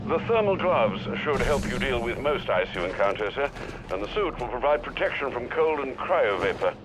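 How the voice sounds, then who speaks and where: An actor playing a loyal older butler in a video game, speaking formally and politely with dry concern. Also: male voice, mid-range voice, sing-song, normal speed, lightly acted, low-quality recording. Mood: dutiful, concerned. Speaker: butler and assistant. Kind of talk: video game cutscene acting. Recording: music behind the voice.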